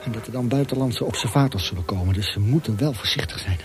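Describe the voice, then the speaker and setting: An actor in a radio drama playing an anguished young prisoner thinking aloud in an intense, introspective monologue. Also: male voice, lowish voice, quick pace, natural voice. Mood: urgent, reasoning. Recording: loud music behind the voice.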